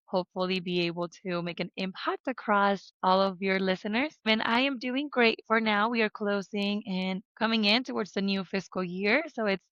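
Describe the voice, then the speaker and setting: A woman's voice: mid-range voice, steady tone, moderate pace, few pauses, fairly bright tone, no echo. A young woman from Mexico, a podcast guest telling her life story in an earnest way with questions to herself. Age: young adult. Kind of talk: interview conversation. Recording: dry, slightly dull lo-fi sound.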